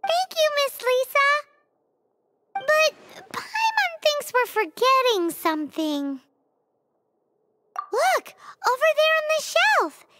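Casting: tiny excitable fairy sidekick for a game, extremely high childlike voice, lively swings, over loud noise. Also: female voice, slow, long pauses, full character voice. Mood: curious. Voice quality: squeaky, childlike. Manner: exclaiming, speaks of herself in third person. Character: tiny childlike floating fairy girl companion, excitable and suspicious. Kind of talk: video game character acting.

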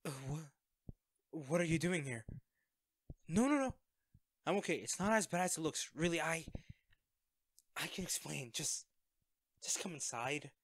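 A man's voice: on the high side, lively intonation, unhurried, pauses often, no echo. Hesitant man acting a secret superhero, very dry recording. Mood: flustered, pained. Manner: hesitant, stammering, evasive. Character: secret superhero hiding injuries, awkward, self-effacing, affectionate best friend. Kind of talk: audio roleplay drama, one-sided conversation.

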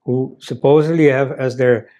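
A man's voice: mid-range voice, steady tone, moderate pace, dark tone. An older man whose first language is Dutch, seated at a desk and giving a conversational talk with many "you see" asides. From Belgium. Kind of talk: lecture-style talk. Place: office room indoors.

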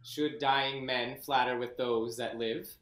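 A man's voice: medium pitch, fairly even intonation, slow, fairly bright tone. A haughty young king, scornful, roomy echoey sound. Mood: mocking. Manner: cold, then furious. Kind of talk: Shakespeare staged reading. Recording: echoey room.

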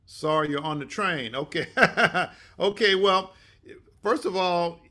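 An older man hosting an online session informally, speaking haltingly with many restarts. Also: sits high, pushed and bright. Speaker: host and mentor. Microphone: video call.

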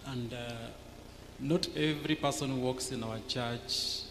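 A man's voice: mid-range voice, steady tone, measured pace, a bit bright. A middle-aged man with a Kenyan English accent, thoughtfully explaining church welfare work on a panel with frequent fillers, into a handheld mic. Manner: thoughtful, hesitant fillers, gesturing. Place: church TV studio set, seated. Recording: echoey hall with noticeable noise.

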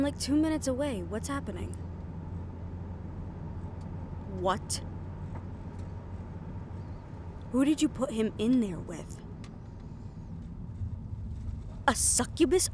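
A woman's voice: on the high side, a little hiss or hum, close to an everyday voice. A woman playing a tough, loyal assistant to a mafia boss in a casual, familiar audio roleplay. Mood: alarmed, angry. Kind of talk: intimate audio roleplay acting.

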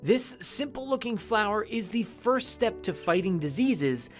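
A man playing a brisk, formal news reporter in a radio drama. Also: high-pitched, very animated, faint music behind. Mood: formal, reporting.

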